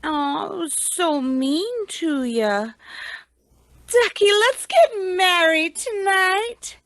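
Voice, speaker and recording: A clingy, gushing sweetheart played by a woman coos in a very high voice. She drawls slowly and coaxes with syrupy, theatrical affection, radio-play style. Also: lively intonation, fairly bright tone, a little hiss or hum, heavily acted. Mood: gushing, pitying. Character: gushing, clingy, cooing old flame of a married man. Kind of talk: old-time radio drama acting.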